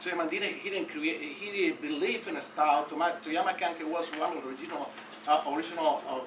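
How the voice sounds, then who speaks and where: Man from cuba, halting speech with many fillers, bright tone, distant roomy hall sound. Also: on the high side, steady tone, slight background noise. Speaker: audience member at academic taekwondo conference. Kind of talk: unscripted comments from the floor.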